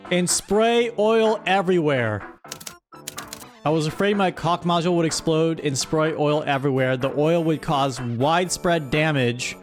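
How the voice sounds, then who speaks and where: A woman's voice: low-pitched, a little slow. A woman hosting a casual livestream, playful and mock-dramatic as she reads out and comments on a story. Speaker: streamer, host. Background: music.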